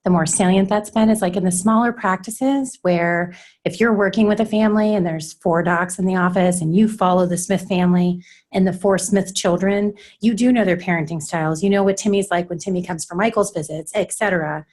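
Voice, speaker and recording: A woman presenting a workshop, explaining points in a measured way. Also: lowish voice, steady tone, darkish tone. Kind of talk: leading a workshop discussion.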